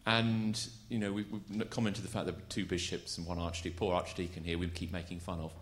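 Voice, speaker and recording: A man's voice: sits low, level tone, a little fast, slight background noise. A man at a church conference voicing a candid, musing question. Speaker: conference delegate.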